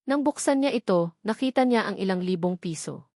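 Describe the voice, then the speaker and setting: A woman's voice: medium pitch, expressive, brisk, a little mellow, no echo, clean studio sound. A woman reading scripted, dramatic narration of a love story as the storyteller.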